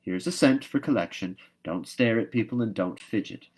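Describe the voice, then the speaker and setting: Stern older guardian woman in a storybook, played by a male reader: no-nonsense, brisk, scolding, firmly emphatic. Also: lowish voice, lively intonation, darkish tone, husky. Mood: brisk, instructing.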